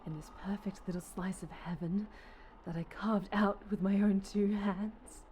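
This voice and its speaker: Girl acting as creepy obsessed dark angel superheroine, asmr roleplay, slow n whispery menace, noisy ambience behind. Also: mid-range voice, fairly even intonation, close to an everyday voice. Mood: wounded, brooding. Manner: slow, halting, menacing delivery, often dropping into whispers. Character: possessive, obsessive, sinister female superhero, a dark angel captor.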